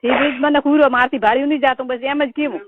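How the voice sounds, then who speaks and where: A woman's voice: sits high, fairly even intonation, fast, crowd noise. A woman with a Marwari accent chatting casually on a private phone call in a blunt, gossipy way. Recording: tinny, narrow phone-call recording.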